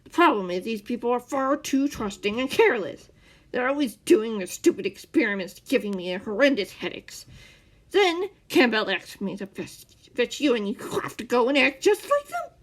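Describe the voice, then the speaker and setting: A gruff, short-tempered captor man who snaps and growls at full volume, as a woman reads him. His pitch jumps around with his temper in this storybook reading. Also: big pitch swings, normal speed. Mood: angry. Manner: snapping, growling and loud.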